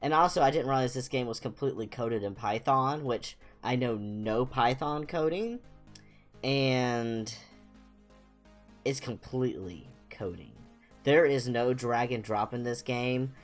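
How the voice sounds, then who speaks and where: Male streamer, young adult. Informal, rambling, self-deprecating talk in a medium pitch, light music underneath. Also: unhurried. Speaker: livestream host. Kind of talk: casual chatty livestream. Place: small home room, indoors.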